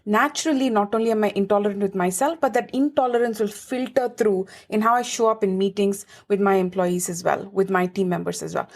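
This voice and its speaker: A woman with an Indian accent, a lawyer and advisor, giving earnest, emphatic answers as a podcast guest. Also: mid-range voice. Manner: earnest, emphatic, lively explaining. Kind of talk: podcast interview.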